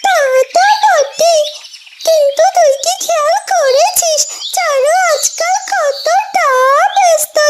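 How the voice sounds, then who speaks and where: A performer voicing a small, loyal female talking bird in a cartoon, with a squeaky, childlike voice. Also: heavy background noise. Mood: chatty, concerned. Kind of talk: cartoon character dialogue. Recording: loud music bed behind the voice.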